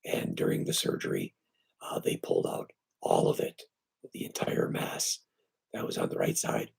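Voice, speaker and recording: A man with weak, breathy and raspy vocal cords, hoarse and short of breath, hosting a casual live stream from home on his computer and talking haltingly with many fillers. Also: a bass voice. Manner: halting, with many fillers and restarts, lively when greeting viewers. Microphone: computer microphone.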